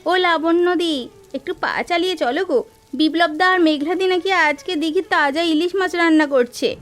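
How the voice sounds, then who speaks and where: A woman voicing a cheerful, eager young village woman in a cartoon, talking in a lively, coaxing way. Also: very high voice, fairly quick, soft background music. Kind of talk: cartoon voice acting.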